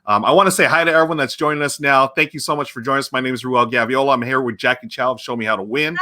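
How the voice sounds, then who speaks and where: Male stream host, bright voice, eager and chatty. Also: medium pitch, fairly even intonation, racing. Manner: enthusiastic, chatty. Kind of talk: casual livestream chat.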